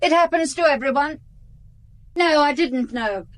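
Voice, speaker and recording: An actress playing a blunt, sharp-tongued middle-aged cook in a radio drama, speaking in a tart, dismissive way. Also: female voice, sits high, unhurried. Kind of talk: 1990s BBC radio drama acting.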